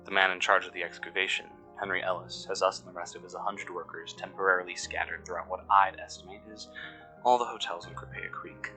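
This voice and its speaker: A man acting as an uneasy, cynical excavation worker, recording a fictional audio log in measured, brooding, scripted narration with pauses mid-sentence. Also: deep voice, fairly even intonation, pushed and bright, faint music behind, low-quality recording. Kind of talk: scripted first-person mystery narration.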